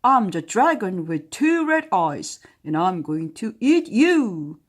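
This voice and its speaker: A woman voicing a bumbling, boastful young male dragon in a storybook reading, roaring his threats loudly and a little lower than her own voice. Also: big pitch swings, takes it slowly, husky, exaggerated voice.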